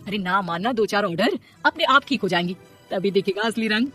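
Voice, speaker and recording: A woman's voice: medium pitch, expressive, a little fast, bright, forceful tone, faint music behind. A woman voicing a gossipy, meddling neighbour in a story, prodding and insinuating.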